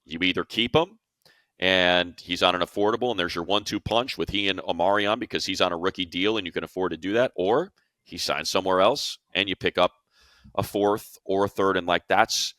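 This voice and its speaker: A man with an American accent and a very low, bright, forceful voice talks through sports analysis as a co-host. He reasons aloud in a conversational way, checking in with his listeners as he builds a point. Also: fairly quick, no room sound, clean studio sound.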